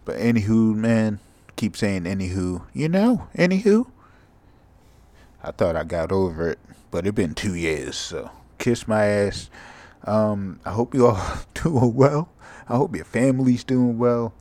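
A sleepy young man with a monotone, mumbly voice, hosting a rambling, profane solo podcast. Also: lowish voice, unhurried, no echo. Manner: casual, profane, drifting from thought to thought. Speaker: podcast host.

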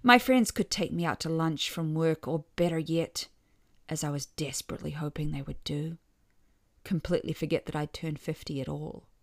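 A woman reading a scripted first-person short story with wry expression, letting some phrase endings drop softly. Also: deep voice, normal speed, dry recording, studio quality.

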